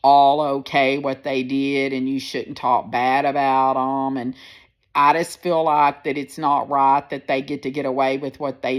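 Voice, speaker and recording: A woman in her sixties with a deep, firm voice, talking candidly and rambling a little as she speaks to her viewers into a phone. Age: 60s. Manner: candid, rambling, plain-spoken. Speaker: personal vlogger. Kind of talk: casual personal storytelling to viewers.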